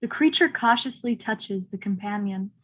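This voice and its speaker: A woman's voice: mid-range voice, animated, normal speed, fairly bright tone. A woman narrating stage directions as scripted narration in a play reading.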